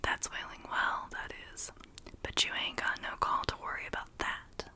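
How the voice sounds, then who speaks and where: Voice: normal speed, natural voice. A whispering reader doing an old shepherd. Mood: grave. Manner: whispered storytelling voice. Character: old rustic male shepherd, grave and superstitious.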